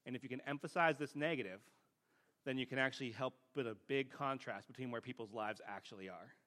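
A man's voice: mid-range voice, fast, a bit bright. A man preaching a teaching sermon in a plain-spoken, conversational way, often checking in with rhetorical questions.

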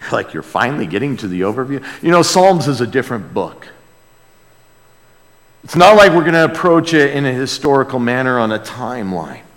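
An American man preaching a conversational sermon as a pastor, earnest and emphatic, with hushed asides and rhetorical questions. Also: medium pitch, sing-song, brisk. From United States. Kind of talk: sermon, conversational Bible teaching. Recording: long hall reverb.

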